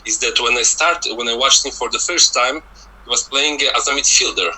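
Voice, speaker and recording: A man with a strong, very bright and forceful voice and a Polish accent talks football as a guest scout. His speech is casual and halting, with words repeated as he searches for the next phrase. His pitch sits in an ordinary male range. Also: low-quality recording. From Poland.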